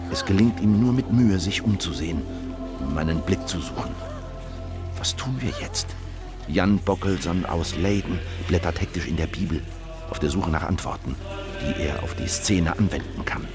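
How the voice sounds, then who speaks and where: Grim revolutionary, radio drama, very low voice, terse, grave, intense, loud music behind. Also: male voice. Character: grim, determined revolutionary telling his own story. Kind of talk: radio drama narration and dialogue.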